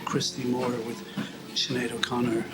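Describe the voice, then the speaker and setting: A man's voice: medium pitch, monotone, moderate pace, very noisy. A man rambling to the camera while walking on a treadmill, a bit out of breath, wry and self-mocking. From Ireland. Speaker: vlogger. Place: indoors at home, on a treadmill.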